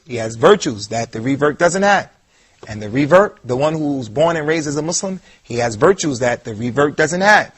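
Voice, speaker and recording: A man delivers a televised lecture in a husky, slightly breathy voice set at an everyday middle pitch. He speaks emphatically and circles back to repeat the points he wants to stress. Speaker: Islamic lecturer.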